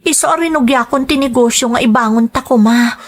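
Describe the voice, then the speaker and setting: A woman's voice: a little high, brisk, lightly acted. A woman playing a guilty, apologetic young friend, pleading in melodramatic audio drama style. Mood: apologetic, defensive.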